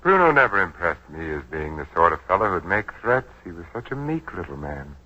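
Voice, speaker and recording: Measured, quietly menacing businessman, forties radio drama, low-pitched, muffled broadcast sound. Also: big pitch swings, exaggerated voice. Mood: insinuating. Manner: courteous, measured, insinuating. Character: suave, guarded wealthy businessman, quietly menacing beneath courtesy. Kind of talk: 1940s radio drama acting. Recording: dull 1940s radio broadcast recording.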